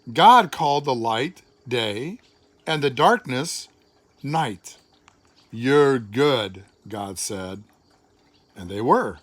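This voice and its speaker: An older man, a grandfather, reading a children's storybook aloud with dramatic, deliberate, expressive phrasing. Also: a little high, no echo.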